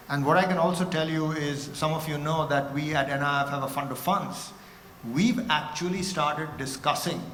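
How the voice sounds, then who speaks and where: A man moderates a conference panel in a loose, conversational way, often restarting his sentences. His voice sits a little high for a man. It rings through a large reverberant hall with faint background noise. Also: a bit bright. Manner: conversational, with frequent restarts and fillers.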